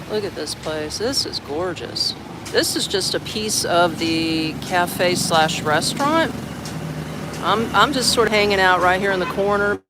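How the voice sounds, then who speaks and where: Chatty expat from Florida, streaming outdoors. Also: medium pitch. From Orlando, Florida, United States. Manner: rambling and chatty, peppered with "like" and "man". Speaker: expat vlogger streaming live. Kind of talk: casual livestream chat. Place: outdoor garden café. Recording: outdoor stream with traffic noise. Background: outdoor ambience with traffic.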